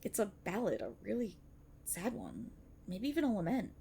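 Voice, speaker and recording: A woman playing an excitable, earnest young banshee idol-in-training, chatty and teasing, in an ASMR-style roleplay aimed at the listener. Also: mid-range voice, normal speed, vocal fry, slight background noise. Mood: uneasy, wistful.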